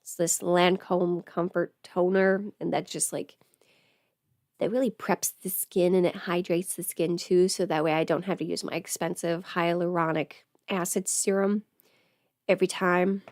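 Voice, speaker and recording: A woman's voice: fairly low pitch, flat delivery, normal speed, a little mellow, no echo. Dry-sounding woman, rambling casual livestreamer. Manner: rambling, self-interrupting, thinking aloud. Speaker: livestreamer chatting with viewers. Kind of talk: casual get-ready-with-me livestream chat.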